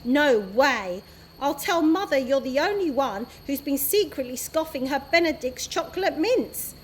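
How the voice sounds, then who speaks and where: A woman's voice: sits high, expressive, a little slow, a little hiss or hum. A woman voicing an earnest, sensible schoolgirl who speaks plainly and a little primly.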